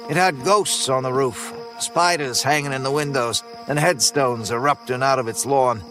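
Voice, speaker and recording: A man's voice: fairly high pitch, fairly bright tone. Folksy man narrating a horror story.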